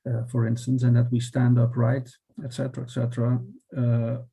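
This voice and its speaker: A man's voice: medium pitch, completely flat delivery, moderate pace, creaky, mellow, breathy edge. A man with a Dutch accent explaining philosophy in conversation, thoughtful and hesitant, often restarting and checking with "right?". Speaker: philosopher guest.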